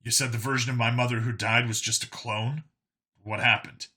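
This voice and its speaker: A man's voice: mid-range voice, steady tone, moderate pace, bright, forceful tone, slightly rough. A man voicing a guarded, blunt male cop in an audiobook, speaking with restraint. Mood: questioning. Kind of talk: audiobook character dialogue.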